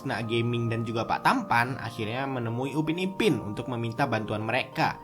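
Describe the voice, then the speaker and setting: Mid-pitched cartoon story narrator. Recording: music behind the voice.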